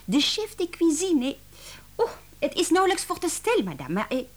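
An actress playing an excitable, principled young French cook with a French accent, dramatic and indignant, in a radio drama. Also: female voice, extremely high pitch, normal speed, full character voice. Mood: scandalised, confiding. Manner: dramatic, indignant, sprinkles speech with French exclamations.

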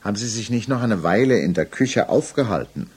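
An actor playing a probing, sceptical examining magistrate in a German radio play, speaking precisely and pointedly. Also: male voice, fairly low pitch, animated, brisk, a little mellow, husky.

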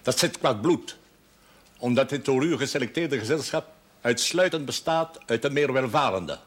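A man's voice: medium pitch, animated, lightly acted. Stern, pompous old pastor, played by a man. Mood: reproachful, imperious. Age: older. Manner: formal, pompous, measured and admonishing. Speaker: actor in a television drama series. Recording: faint background noise, old television audio.

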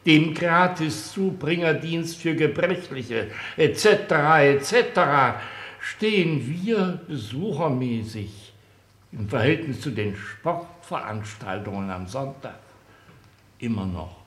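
An unctuous cleric of state in a satirical radio drama. His mid-pitched voice is measured and pompous, sounding in a roomy echo. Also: a bit bright. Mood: unctuous, apologetic. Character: unctuous, apologetic male church minister, deferential and wordy. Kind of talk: satirical German radio play acting.

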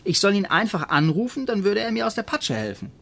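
An actor playing an edgy, restless escaped convict on the run in a radio crime drama, agitated and defensive. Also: male voice, sits high, very animated, a little fast, vocal fry, pushed and bright, full character voice. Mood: eager, scornful.

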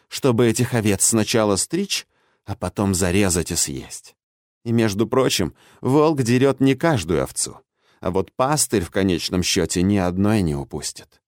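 A man voicing a defiant old imprisoned witch in an audiobook, hoarse and croaking, with a caustic, mocking, argumentative way of speaking. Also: medium pitch, a little mellow, dry recording, studio quality. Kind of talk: audiobook character acting.

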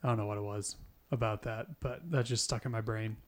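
A man's voice: on the low side, fairly even intonation, fairly quick. A man in his twenties co-hosting a podcast, chatting casually, rambling and chuckling often. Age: 20s. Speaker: podcast co-host. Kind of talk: casual chat.

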